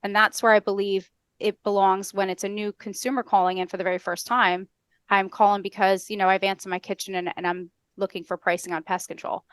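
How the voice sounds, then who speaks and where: Fast-talking American woman, instructional. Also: mid-range voice, little pitch movement, a bit bright, clean tone, no room sound. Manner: brisk, structured, instructional. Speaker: podcast guest, customer service trainer. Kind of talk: explanatory interview talk with acted-out call examples. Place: indoor room on a video call. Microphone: headset.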